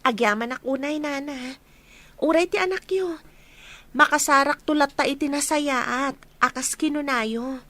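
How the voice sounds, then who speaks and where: A woman playing a sweet, respectful young village maiden in an Ilocano radio drama, polite and gentle. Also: high-pitched, unhurried, no echo. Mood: sweet, shy.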